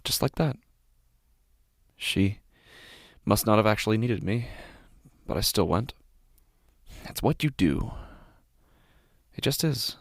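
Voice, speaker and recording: An actor playing a devoted, anxious, obsessive father in a horror audio drama monologue, speaking haltingly and intensely. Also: fairly low pitch, expressive, heavily acted. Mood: anxious, unsettled. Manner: hesitant, halting, intense, sighing.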